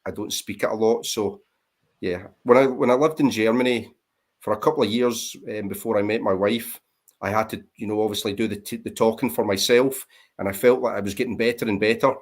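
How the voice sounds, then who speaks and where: A man's voice: fairly low pitch, steady tone, fairly quick. A man with a Scottish accent, chatting casually and self-deprecatingly as a guest on a livestream. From Scotland. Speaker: guest on panel. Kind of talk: casual chat.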